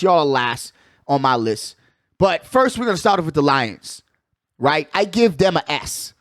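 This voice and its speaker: A man's voice: high voice, a bit bright, no echo, clean studio sound. A man hosting a sports show, talking casually with strong opinions in a slangy, emphatic, conversational way, often repeating his phrases.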